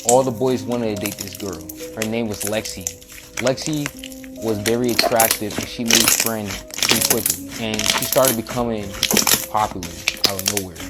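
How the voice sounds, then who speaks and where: A man's voice: lowish voice, steady tone, normal speed, loud music behind the voice. A man narrating a personal story in a casual, blunt, slangy way with some swearing.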